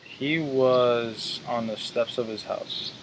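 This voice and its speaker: A man in his twenties answering interview questions in a hesitant, measured way with fillers. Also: mid-range voice, steady tone, a little hiss or hum, low-quality recording. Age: 20s. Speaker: interview guest.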